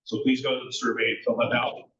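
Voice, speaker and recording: A man's voice: mid-range voice, flat delivery, fast, pushed and bright. A man giving announcements at a neighborhood meeting, unscripted and full of fillers. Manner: unscripted, many fillers and restarts. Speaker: neighborhood association officer. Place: large echoey school meeting room. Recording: echoey, distant, muffled room audio.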